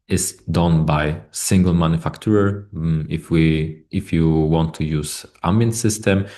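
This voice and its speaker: Man, deep low voice, non-native English, technical webinar presenter, hesitant and halting delivery. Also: darkish tone. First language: not English. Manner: halting, with many hesitations and repeated words.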